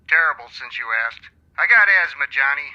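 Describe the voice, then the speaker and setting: A man's voice: fairly high pitch, animated, moderate pace, pushed and bright, highs cut off. An actor playing a complaining, clipped, asthmatic insurance company man over a telephone filter in a radio drama. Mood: grumbling. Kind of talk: old-time radio drama acting. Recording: thin band-limited telephone sound.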